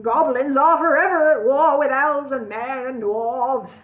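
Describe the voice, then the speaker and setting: A woman doing a shrill goblin king, cruel, gloating, barking, high and loud, tinny lo-fi sound. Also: a little slow. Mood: furious.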